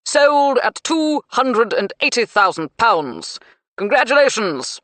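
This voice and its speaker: Woman doing a clipped, booming auctioneer. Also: sing-song, slow, a bit bright, no echo, full character voice. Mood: showy. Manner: clipped and well-projected. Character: clipped, projecting male auctioneer.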